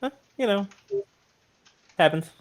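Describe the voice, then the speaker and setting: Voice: medium pitch, lively intonation, slow pace. A tabletop player chatting casually and playing a character in an offhand way on an online call. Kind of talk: casual game chat and light role-play. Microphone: online group call.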